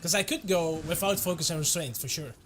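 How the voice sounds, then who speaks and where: A man's voice: a little high, a little fast, slight background noise. Dutch-accented man chatting on a stream. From Netherlands. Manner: relaxed, chuckles often, answers chat. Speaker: game streamer. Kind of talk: casual live-stream chat.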